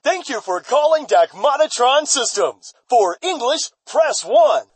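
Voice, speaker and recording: A woman voicing an unhelpful automated customer phone menu, with a husky voice, in a comedy audio drama. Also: high voice, very animated, a little slow, background noise. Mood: brightly unhelpful. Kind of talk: recorded phone menu parody.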